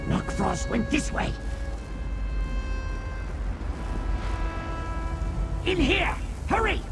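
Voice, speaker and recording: A man voicing a small sly rat-like creature in a fantasy video game, raspy and nasal, fawning and snide in a theatrical way. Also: extremely high pitch, heavily acted. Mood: frantic, furious. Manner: theatrical drawn-out phrasing, fawning to master. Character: small sly male Daedrat creature, servile, snide, vengeful.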